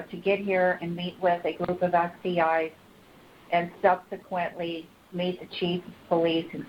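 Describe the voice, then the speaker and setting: A woman with a fairly low, flat-toned voice speaks as a caller, earnest and polite. She is measured and careful with her words. The phone line is dull, with some faint noise. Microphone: telephone.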